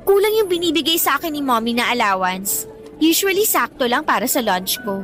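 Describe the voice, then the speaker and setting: A woman's voice: high voice, very animated, a little fast, fairly bright tone, faint music behind. An actress playing a spoiled, insolent teenage schoolgirl with a Filipino accent in a Tagalog radio drama, taunting and slipping in English. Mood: taunting, scheming. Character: spoiled, insolent teenage schoolgirl who blackmails her teacher.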